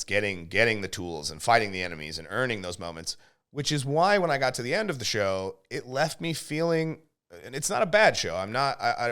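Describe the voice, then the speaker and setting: A man's voice: mid-range voice, a bit bright. A man chatting casually as a podcast host, giving his opinions at length in an emphatic, explanatory way and checking in with little tag questions. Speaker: podcast host and game reviewer. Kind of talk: casual opinionated review chat.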